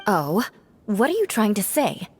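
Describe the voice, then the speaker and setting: A woman voicing a proud, composed young woman knight in a video game, speaking calmly and dryly. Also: mid-range voice, unhurried, soft background music.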